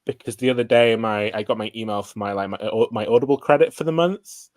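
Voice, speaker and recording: Man, lowish voice, dry close sound, casual book chat, hesitant, meandering. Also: fast talker, dry recording. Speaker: guest co-streamer. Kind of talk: casual livestream chat about books.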